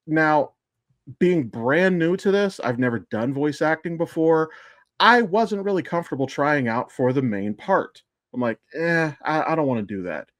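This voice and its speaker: A man's voice: mid-range voice, no echo, studio quality. A man chatting casually as a podcast guest or co-host, telling a story in a rambling, digressive way. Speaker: podcast co-host and amateur voice actor. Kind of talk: casual chat.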